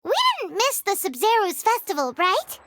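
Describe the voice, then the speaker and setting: A woman voicing a tiny, excitable, childlike fairy companion girl in a video game, squeaky and full of exclamations. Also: normal speed, dry recording, studio quality, exaggerated voice. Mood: cheerful, eager. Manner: exclamatory, speaks of self in third person. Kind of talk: video game character acting.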